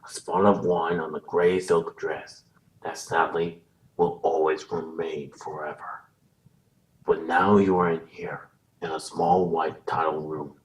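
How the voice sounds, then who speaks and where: A man playing a menacing puppet mastermind in a horror audio drama, slow, deliberate, formal and coldly moralizing. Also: very deep voice. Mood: cold menace. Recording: distant voice under loud music.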